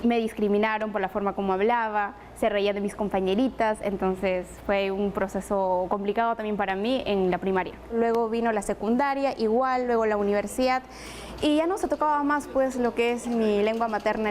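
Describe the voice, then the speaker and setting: A woman's voice: mid-range voice, fairly even intonation, fairly quick, darkish tone, slight background noise. A woman presenter from Apurímac, Peru, whose first language is Quechua, telling her own story earnestly in an interview. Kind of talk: personal interview testimony.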